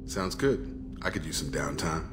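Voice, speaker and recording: A world-weary male alien soldier in a game. He speaks in a deep voice with wry, easygoing dryness while music plays clearly behind him. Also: unhurried. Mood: relaxed, wry. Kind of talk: video game character acting.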